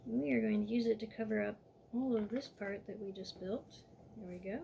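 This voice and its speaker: A woman walking viewers step by step through a toy building set in a calm, instructive way, a little hoarse from losing her voice. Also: mid-range voice, brisk, highs cut off, a little hiss or hum.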